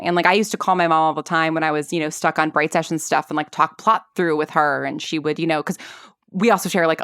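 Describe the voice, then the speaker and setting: A woman in her thirties chatting casually as an interview guest, rambling in an animated way with lots of filler "like". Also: deep voice, clean tone, no room sound, clean studio sound. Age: 30s. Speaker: guest, audio drama writer.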